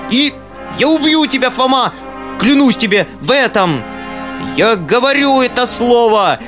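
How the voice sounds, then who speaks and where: A man voicing a shrill, self-righteous hypocrite who shrieks and rants hysterically.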